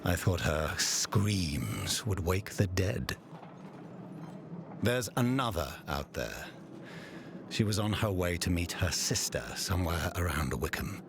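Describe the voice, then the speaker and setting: An actor playing an imperious, cruel ruler who commands holy inquisitors in a fantasy game, with a grandiose and menacing manner. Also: male voice, deep voice, lively intonation, slow, gravelly, noisy background, heavily acted. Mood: quiet menace. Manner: grandiose, theatrical in proclamation, menacing in private. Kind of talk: dramatic fantasy game acting.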